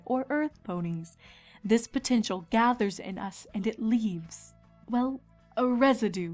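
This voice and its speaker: A woman's voice: medium pitch, a little mellow, close to an everyday voice. A woman voicing a regal, placid pony princess who teaches patiently and delicately in a cartoon-style fan reading.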